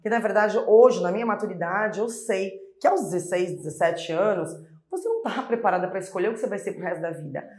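A middle-aged woman, a mother being interviewed, talks warmly and animatedly, acting out quoted voices as she tells her story. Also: mid-range voice, fast. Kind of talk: conversational interview. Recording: echoey room.

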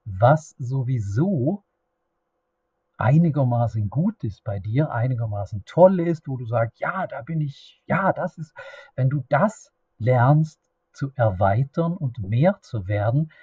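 A middle-aged man with a Swedish accent talks slowly and haltingly, restarting sentences as he searches for words. His voice is mellow and a little husky. The recording is dry and somewhat dull, with no room sound. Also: mid-range voice, expressive, no echo. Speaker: podcast host. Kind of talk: unscripted solo podcast monologue.

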